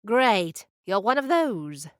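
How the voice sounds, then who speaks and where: A woman's voice: mid-range voice, sing-song, slow, no echo, studio quality. A woman playing a curt tiny ship captain. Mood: brusque. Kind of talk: audio drama acting.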